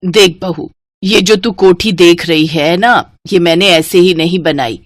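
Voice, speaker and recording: A woman's voice: on the low side, unhurried, no room sound, studio quality. A woman voicing a stingy, bossy mother-in-law in a cartoon story, commanding and emphatic.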